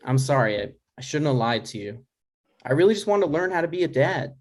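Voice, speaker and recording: A man acts out an anxious adult son who wants approval, with a very animated, swinging pitch. He sounds earnest and exasperated, halting as he pleads, in an informal comedy read. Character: anxious, needy adult son seeking his dad's approval. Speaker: writer playing himself and reading stage directions.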